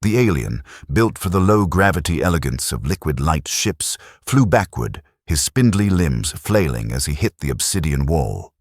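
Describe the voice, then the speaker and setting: A man narrating a science fiction audiobook in a steady, dramatic storytelling manner, close to a studio mic. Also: rumbling low, normal speed, few pauses, no echo. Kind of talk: scripted narration.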